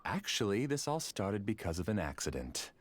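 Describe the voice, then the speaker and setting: Casting: a deep-voiced, courteous, obsessive scholar; lively, varied delivery; video game. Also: male voice, no room sound. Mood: calm confession. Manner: courteous, measured, quietly intense. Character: obsessive scholarly researcher, polite and calculating. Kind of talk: game cutscene dialogue.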